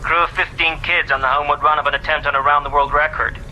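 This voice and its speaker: A businesslike navy lieutenant in a radio drama. His voice is sharply bright and a little high, with a clipped military delivery over faint ambience. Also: little pitch movement, fast, slight background noise, low-quality recording. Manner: crisp, businesslike. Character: efficient male American naval junior officer.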